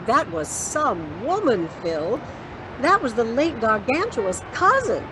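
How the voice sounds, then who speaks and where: Sassy tough-gal sidekick , actress, fairly high, very expressive voice, sarcastic audio-drama banter over noisy city ambience. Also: female voice, slow pace. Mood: teasing. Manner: teasing, sarcastic. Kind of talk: hard-boiled detective radio drama.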